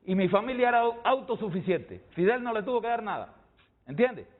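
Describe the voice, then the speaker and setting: A middle-aged man with a Cuban accent testifies in a heated panel debate. His voice is notably high and he repeats phrases for emphasis. The sound is dull and band-limited. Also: animated, a little fast. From Cuba, rural. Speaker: debate guest, doctor.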